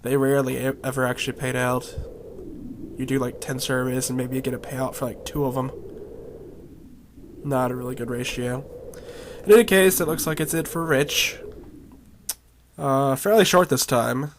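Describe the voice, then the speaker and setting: A man with a hoarse, raspy voice chatting casually as he explores a virtual world. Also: medium pitch, steady tone, normal speed, long pauses, slight background noise. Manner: rambling, chatty, with coughs and laughs. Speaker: video game vlogger. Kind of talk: casual commentary while exploring a game.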